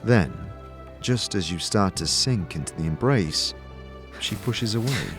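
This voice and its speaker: Male narrator, fantasy audio series. Lower register, measured dramatic storytelling over music. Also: lively intonation, a bit bright.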